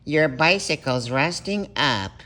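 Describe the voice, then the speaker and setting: A man's voice: on the high side, animated, slow, sharp tone, pitched above the performer's own voice, exaggerated voice. A man voicing a sour, nagging spoilsport from a storybook, yapping out orders. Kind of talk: children's storybook read-aloud.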